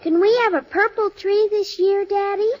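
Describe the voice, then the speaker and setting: An American actress playing an eager, innocent young daughter in a 1950s radio sitcom, earnest and childlike. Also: female voice, very high voice, steady tone, normal speed, over a noisy crowd. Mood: hopeful. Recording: dull old radio recording.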